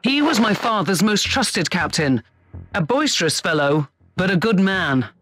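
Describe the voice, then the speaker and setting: A proud young noblewoman from a sci-fi game, formal and well-mannered. Her mid-pitched voice moves with very lively intonation over faint music. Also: breathy edge, heavily acted. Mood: fond. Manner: formal, polite, measured wording. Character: proud, courteous young noblewoman of a disgraced house. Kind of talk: sci-fi video game voice acting.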